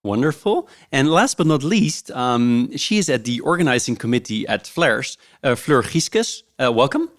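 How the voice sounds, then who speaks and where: A man's voice: medium pitch, lively intonation, moderate pace, few pauses. A man hosting a podcast interview, talking casually and hesitantly with many fillers. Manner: warm, hesitant, many ums. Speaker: podcast host.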